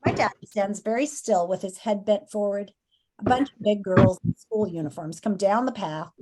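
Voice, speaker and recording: Woman, teacher, read-aloud and lesson instruction, expressive, addressing pupils directly. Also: medium pitch, normal speed, poor audio.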